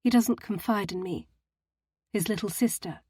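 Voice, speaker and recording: A woman's voice: medium pitch, normal speed, dark tone, slightly rough, no echo, studio quality, lightly acted. A woman voicing a distraught young society woman who speaks in a quaking, tearful voice. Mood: upset.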